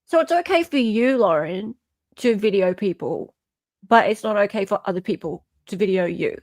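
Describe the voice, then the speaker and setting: A woman's voice: medium pitch, lively intonation, moderate pace, a little mellow, no room sound, studio quality. An Australian-accented woman hosting casual reaction commentary in a blunt, incredulous, sweary way. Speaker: host.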